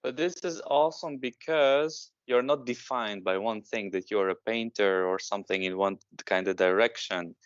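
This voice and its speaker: Man hosting with smooth voice. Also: on the low side, moderate pace, few pauses, no echo, dull audio. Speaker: podcast host. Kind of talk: interview questions.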